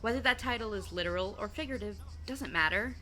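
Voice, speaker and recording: A sarcastic but loyal teenage girl acted by a woman in an audio drama. Her voice sits at a middling pitch, and she teases in blunt slang as a protective best friend. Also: fast, pushed and bright, soft background music, lightly acted. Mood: fiercely supportive.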